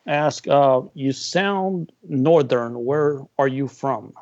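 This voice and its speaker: A man's voice: mid-range voice, slow, a little mellow, no room sound. Hesitant male co-host, dry lo-fi sound. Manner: hesitant, many uhs and ums. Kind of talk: hosting a live interview stream. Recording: dry muffled video call audio.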